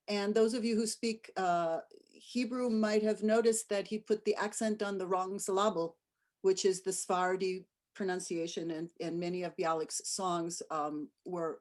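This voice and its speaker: A woman's voice: mid-range voice, a little mellow. A woman, a music director, giving a casual online lecture about a singer, talking informally with fillers and restarts as she presents clips. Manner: chatty, with frequent fillers and restarts.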